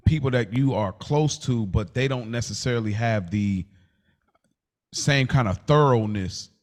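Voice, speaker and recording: Probing American man, medium-pitched voice. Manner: probing, questioning, halting rephrasing. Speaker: podcast co-host. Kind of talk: casual conversational debate.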